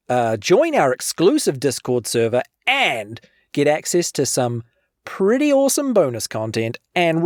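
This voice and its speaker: A woman's voice: lowish voice, dry recording, studio quality. A woman with a New Zealand accent hosting a podcast in a playful, theatrical, cheeky manner. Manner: animated, cheeky. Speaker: podcast host.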